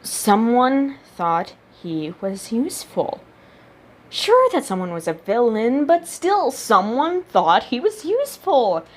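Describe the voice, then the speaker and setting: A woman's voice: fairly high pitch, big pitch swings, takes it slowly. A woman reading a story aloud as a narrator, voicing the character's thoughts expressively. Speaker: amateur audiobook narrator reading fan fiction.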